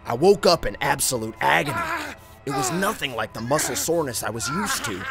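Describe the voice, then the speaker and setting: A man narrating a scripted first-person horror story in an expressive, suspenseful storytelling manner. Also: medium pitch, brisk, vocal fry. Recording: music bed under narration. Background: background music.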